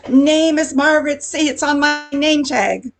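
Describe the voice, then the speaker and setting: A woman playing a blunt, impatient diner waitress in an amateur radio comedy, with a husky voice. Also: high voice, fairly even intonation, unhurried, over music. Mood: exasperated. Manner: blunt, sassy.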